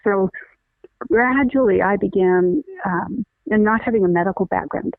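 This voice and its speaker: A woman's voice: medium pitch, creaky, dry recording. Earnest woman explaining over a tinny phone line. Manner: earnest, many restarts, deliberate explaining. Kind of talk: conversational interview, explaining.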